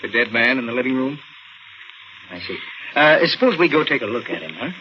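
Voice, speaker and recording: An actor playing a skeptical, easygoing American police detective in a 1940s radio drama, casual and gently condescending. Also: male voice, medium pitch, very animated, a little fast, close to an everyday voice. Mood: doubtful. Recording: muffled, noisy old radio broadcast.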